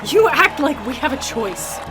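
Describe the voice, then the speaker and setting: A ruthless female arena veteran played by a voice actress, bitter and hard-edged, with a high voice. She speaks in curt, scornful bursts in an audio drama, under loud music. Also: big pitch swings, normal speed. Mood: cold scorn.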